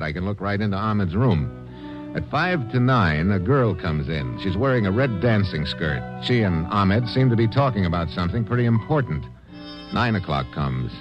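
An actor playing a tough, wry American adventurer in a 1950s radio drama, narrating and talking in terse, deadpan lines. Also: male voice, deep voice, highs cut off. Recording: old radio recording with music.

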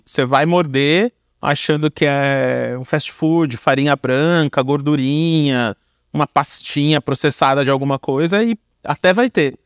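A Brazilian man with a fairly high voice speaks in a loose, informal interview style. He reflects aloud in slangy, conversational phrases. The recording is close and dry, with no room sound. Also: dry recording. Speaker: podcast guest, content creator and director. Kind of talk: casual reflective interview talk.

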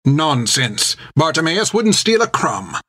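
A man voicing an elderly, stern and venomous nun, speaking in a clipped, snappish way, raised in pitch. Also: big pitch swings, slow pace, fairly bright tone, full character voice. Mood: indignant. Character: old sharp-tongued nun, stern and venomous.

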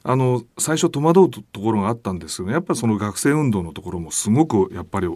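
Man, middle pitch, animated, dry studio sound, earnest casual guest chat. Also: fast, dry recording. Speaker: podcast guest, artist.

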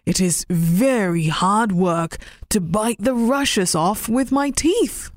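A woman's voice: medium pitch, slow pace, dry recording, studio quality, natural voice. A woman voicing a tiny, polite old man who pleads with a rabbit in a storybook reading. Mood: pleading.

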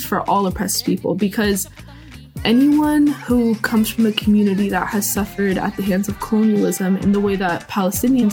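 A woman from Seattle talks in a casual, animated way with lots of fillers. Her voice sits in the middle range, and faint music plays under her. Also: medium pitch, slightly rough. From Seattle, United States. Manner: warm, animated, many fillers like "like". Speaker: interviewee. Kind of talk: casual interview talk.

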